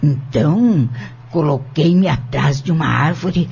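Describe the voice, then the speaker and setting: A man playing an elderly, firm woman shopkeeper who testifies in a measured, formal way in an audio drama. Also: on the high side, creaky, darkish tone, breathy edge, heavily acted. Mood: grave. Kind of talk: audio novel acting. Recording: dull lo-fi audio with music bed. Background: music.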